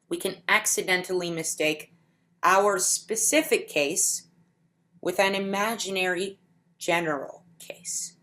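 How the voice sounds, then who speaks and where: A woman giving a conference talk in a deliberate, explanatory way, slowing down to stretch key words. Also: mid-range voice, fairly bright tone. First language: English. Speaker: conference speaker. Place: home setup for a virtual conference.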